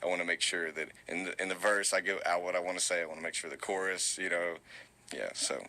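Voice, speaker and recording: A young man with a very low voice that still carries a bright, forward edge. He talks in a relaxed, rambling way, full of fillers and restarts. The sound is tinny, like an old phone recording. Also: quick pace. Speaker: musician guest. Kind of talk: casual interview answers.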